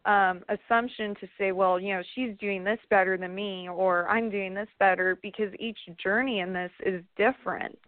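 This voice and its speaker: A hoarse woman calls in to a talk radio show and speaks earnestly and with passion. The line is narrow and phone-like, dry with no room sound. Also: medium pitch, fairly even intonation, moderate pace, hardly any pauses, no echo. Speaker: caller. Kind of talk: call-in radio chat.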